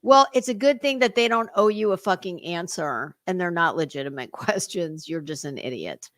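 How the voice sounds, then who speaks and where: A woman's voice: fairly low pitch, animated, normal speed, a bit bright, no echo, studio quality. A woman giving casual reaction commentary as a livestream host, sarcastic, mocking and foul-mouthed. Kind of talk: casual reaction commentary over a played clip.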